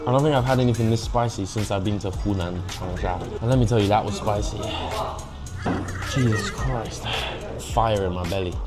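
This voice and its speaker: Low-pitched young British man chatting casually. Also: normal speed, music in the background. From England. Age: young adult. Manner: informal, chatty, mixes Mandarin phrases into English. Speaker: food vlogger. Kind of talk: casual food review vlog.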